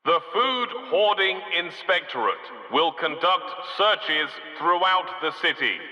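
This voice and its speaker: A deadpan game announcer who reads civic notices with formal, bureaucratic calm. The voice is sharp and bright and a little high, delivered slowly. It comes through a dull, lo-fi loudspeaker with a long echo across the city. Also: male voice, full character voice. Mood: official. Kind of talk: public address announcements.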